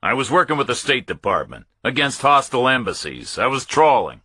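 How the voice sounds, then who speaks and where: A man's voice: mid-range voice, sing-song, a little fast, sharp tone, slightly rough, dry recording, voice pushed up, exaggerated voice. A man voicing a cornered Pentagon officer in a thriller audiobook, arrogant and impatient, insisting on his story in a glib, defensive way.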